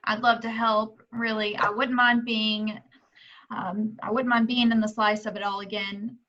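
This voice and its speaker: A woman playing a brash, sharp-tongued TV reporter in an amateur table reading of a film script. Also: a little high, flat delivery, unhurried. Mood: guarded, sardonic. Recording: dull, muffled home recording.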